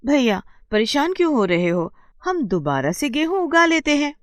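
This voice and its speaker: A woman's voice: high-pitched, big pitch swings, moderate pace, no echo, clean studio sound, voice pushed up. A woman voicing a devoted, caring young sister, earnest and protective, in a storybook tale. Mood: reassuring. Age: young adult.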